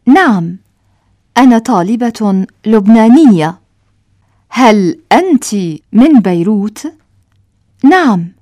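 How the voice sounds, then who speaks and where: A woman reading a scripted language-lesson dialogue, enunciating each short line slowly and carefully for learners. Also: fairly high pitch, a little mellow, no room sound, clean studio sound.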